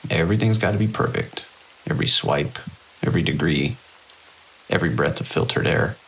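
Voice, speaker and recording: Man acting a deep, gravelly security chief. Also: fairly quick, fairly bright tone, full character voice, low-quality recording. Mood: wry, reflective. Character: seasoned, wry security chief on a night watch. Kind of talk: audio drama dialogue and log monologue. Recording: faint hiss and rain-like noise.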